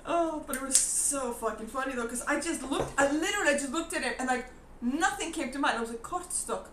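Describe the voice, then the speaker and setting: Animated high-voiced woman telling a story, bouncy pitch, echoey room, a bit far from the mic. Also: fairly quick. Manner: animated storyteller, acts out her own reactions, laughs a lot. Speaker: co-host of a live stream. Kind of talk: casual chat. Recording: echoey room, somewhat off-mic, faint noise.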